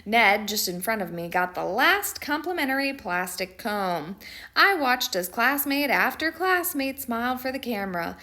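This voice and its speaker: A woman voicing a mischievous, dramatic schoolboy who tells his own picture book story with theatrical emphasis and pauses. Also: a little high, sing-song, sharp tone. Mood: playful exasperation.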